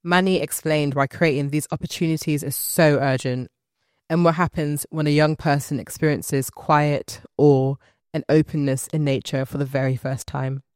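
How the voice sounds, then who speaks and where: A podcast host of medium pitch reading scripted narration. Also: steady tone, normal speed, dry recording, studio quality. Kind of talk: scripted narration over music. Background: music.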